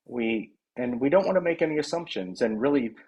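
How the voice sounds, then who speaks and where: A man presenting his project and interviewing a guest over a video call, talking haltingly with many fillers and restarts. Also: medium pitch, expressive, a little mellow, husky, dull audio.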